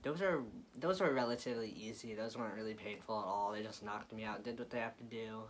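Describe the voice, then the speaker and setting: A man's voice: lowish voice, fast talker. Casual young American man, noisy recording. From Florida, United States. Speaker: vlogger. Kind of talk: casual chat to viewers.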